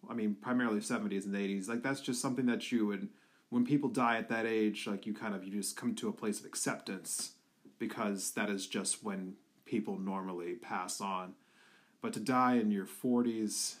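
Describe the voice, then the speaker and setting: A young man from the Philadelphia area talking earnestly in a personal tribute, halting with many fillers. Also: lowish voice, a bit bright. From Philadelphia area, USA. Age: young adult.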